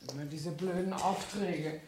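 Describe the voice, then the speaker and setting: A woman's voice: on the low side, steady tone, unhurried, a little mellow, lightly acted. An actress playing a grumbling, persistent cleaning lady in a German radio drama, plain-spoken and nagging. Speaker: actor in a radio play. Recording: roomy echo, faint background noise.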